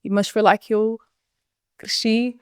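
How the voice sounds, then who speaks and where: A woman's voice: medium pitch, level tone, slow, clear, resonant tone. A woman in her thirties with a European Portuguese accent tells her own story, earnest and reflective. Her voice sits mid-range, and the recording is close and dry with no room echo. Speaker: interview guest. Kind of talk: personal life story interview.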